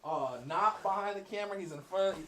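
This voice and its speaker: A young man hosting a casual podcast, animated and joking, with hype-style intros. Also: sits high, a little fast. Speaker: podcast host.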